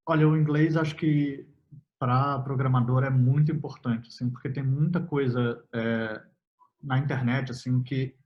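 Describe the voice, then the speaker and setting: A young man, a software developer talking casually in an interview, relaxed and full of fillers, laughing easily. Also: mid-range voice, measured pace. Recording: slightly muffled audio.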